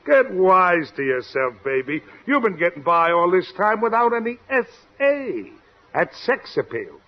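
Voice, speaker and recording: High-pitched, animated wisecracking press agent. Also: male voice, a little slow. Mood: wisecracking, cajoling. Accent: American. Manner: slangy, wisecracking, cajoling. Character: fast-talking, wisecracking, brash press agent. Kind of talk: 1940s radio comedy acting. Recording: dull old radio recording.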